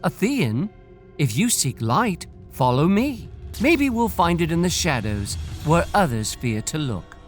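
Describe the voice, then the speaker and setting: Guy doing clever lady fox from a fairy tale, wry n teasing, kinda high, lively. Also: unhurried, faint music behind. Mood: inviting. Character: clever, elusive, wry but warm female fox companion.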